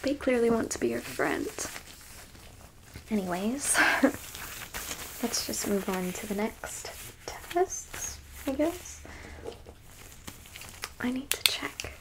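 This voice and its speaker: A woman playing a haughty, curious alien examiner in a whispered ASMR roleplay, musing slowly and clinically with blunt asides. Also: a little high, lively intonation, noisy background, exaggerated voice. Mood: clinically intrigued. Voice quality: soft, breathy whisper. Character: curious, easily disgusted, haughty alien abductor examining a human.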